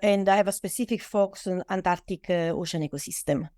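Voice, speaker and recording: A woman's voice: on the low side, quick pace, warm tone, no room sound, clean studio sound. A middle-aged woman from Italy with an Italian accent, answering interview questions as an oceanographer, with hesitant non-native phrasing and frequent fillers. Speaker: guest, oceanographer.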